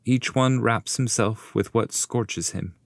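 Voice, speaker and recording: A man's voice: medium pitch, slow, dry recording, voice pushed up. A man voicing a wise, commanding ghostly poet guide in stately, instructive and formal verse. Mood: grave explaining. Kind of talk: dramatic audiobook reading of epic verse.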